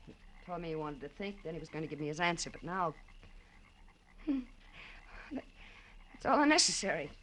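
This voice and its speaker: A woman's voice: low voice, very animated, normal speed, pauses often, a bit bright, a little hiss or hum, low-quality recording. An actress playing a hard, nervy wife in a 1940s radio detective drama, terse and brittle in her delivery. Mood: bitter, confiding. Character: hard, nervy wife of a crooked boxing manager. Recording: vintage radio broadcast.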